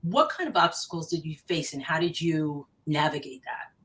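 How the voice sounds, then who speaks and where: A woman's voice: low-pitched, lively intonation, normal speed, a bit bright. An American woman hosting a conversational interview, affirming her guest and repeating words as she forms questions. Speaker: interview host, educator.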